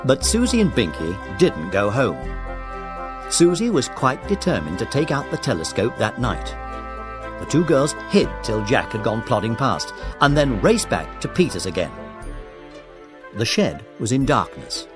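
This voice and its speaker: A man's voice: medium pitch, very animated, a little slow. A man narrating a scripted children's story as the narrator, with music playing behind him.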